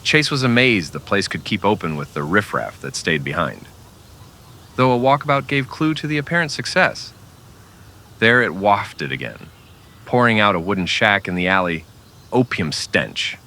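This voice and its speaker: A man narrating a scripted western audio drama as a dramatic, measured storyteller. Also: lowish voice, big pitch swings, pushed and bright.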